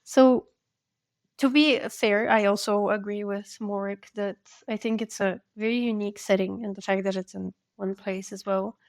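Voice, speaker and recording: Woman podcast cohost, chatty and earnest, mid pitch. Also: fairly even intonation, moderate pace. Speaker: podcast co-host. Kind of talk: casual podcast chat.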